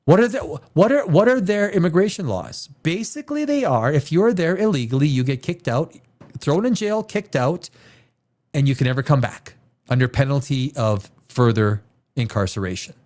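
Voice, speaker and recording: A man's voice: on the high side, expressive, normal speed, a little mellow. A man hosting a livestream chat, blunt and profane, hammering his points with repetition. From Canada. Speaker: livestream host.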